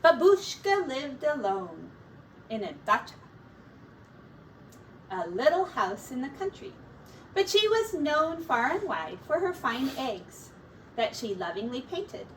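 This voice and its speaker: A woman reading a children's picture book aloud as a teacher, in a deliberate, expressive storytelling manner. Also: sits high. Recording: distant mic with faint background noise.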